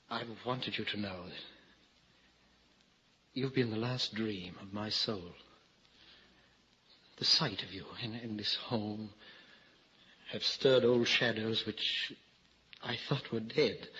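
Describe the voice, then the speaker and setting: Brooding, dissolute gentleman, bright forceful voice, theatrical and halting, on a dull old radio drama recording. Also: on the low side, slight background noise. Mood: anguished devotion.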